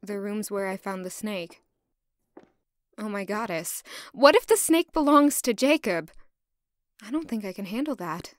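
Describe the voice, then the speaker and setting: A woman's voice: medium pitch, unhurried, pauses often, dry recording, clean studio sound, lightly acted. A woman playing a young, anxious, curious castle servant who narrates her own story in an audio drama. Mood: nervous, musing. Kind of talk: first-person audio drama narration and dialogue.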